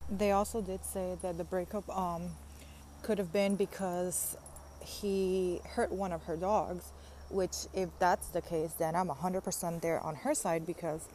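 A woman's voice: lowish voice, darkish tone, slight background noise, rough recording. A woman in her thirties hosting a casual gossip podcast, chatty and loose, with lots of "like" fillers and casual swearing. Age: 30s. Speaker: podcast host.

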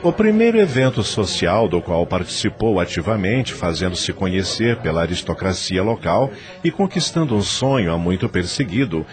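A man's voice: deep voice, very animated, moderate pace, few pauses. A man narrating a radio drama.

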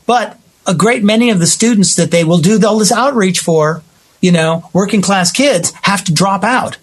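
A man's voice: high voice, moderate pace, breathy edge. An American man in emphatic, opinionated conversation, slipping into imagined quotes to make his points. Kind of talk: opinionated conversation about society.

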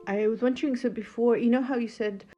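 A woman's voice: a little high, steady tone, fast, highs cut off, loud music behind the voice. A woman interviewing a guest in a casual conversation and asking curious, probing questions.

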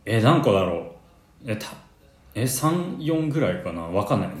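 A man's voice: lowish voice, sing-song, normal speed. A man acting as a busy, easygoing and rather vague male university student in a radio skit. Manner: casual, vague, agreeable. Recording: roomy echo.

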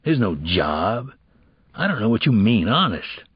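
Weary ex-convict man, acted by a male reader, husky, earnest, pleading, street slang, troubled father, audiobook, slightly muffled. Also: fairly low pitch, sing-song, a little slow, no room sound, exaggerated voice. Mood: confused, pleading. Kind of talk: audiobook character dialogue.

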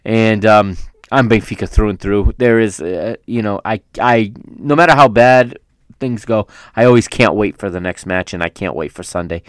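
A man's voice: fairly low pitch, normal speed, clean tone, no room sound. A man hosting a solo football podcast, rambling through a casual recap, often correcting himself as he goes, with a fan's enthusiasm. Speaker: podcast host. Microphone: studio microphone.

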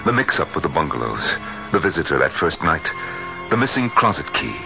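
A man's voice: extremely low pitch, very animated, a little fast, gravelly, music in the background, heavily acted. An actor playing a tormented middle-aged man, brooding and dramatic as he lives and narrates his ordeal in a radio drama. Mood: haunted, brooding. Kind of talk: first-person radio drama narration and acting. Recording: muffled 1970s radio broadcast.

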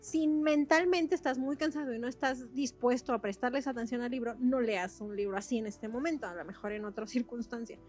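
Fast-talking Mexican woman, high voice. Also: darkish tone, faint music behind. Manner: chatty, slangy, laughs while talking. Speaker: podcast co-host. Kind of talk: casual book chat.

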